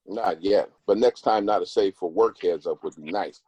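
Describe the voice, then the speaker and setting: Man acting long-distance boyfriend, low voice, conversational, self-absorbed, busy, table read. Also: normal speed, a little mellow, husky, no room sound. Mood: casual, self-absorbed.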